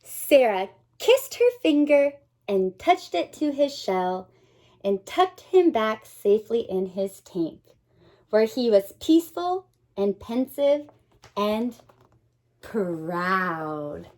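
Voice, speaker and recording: A woman teacher reading a picture book aloud to young children, expressive and dramatic, pausing to put questions to her listeners. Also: on the high side. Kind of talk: animated children's read-aloud.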